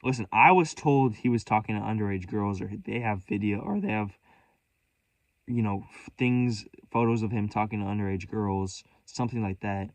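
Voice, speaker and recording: A man's voice: fairly low pitch, normal speed, no room sound, low-quality recording. A man telling a rambling story in a vlog, profane and scattered, restarting sentences and commenting on his own spiraling thoughts. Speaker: vlogger.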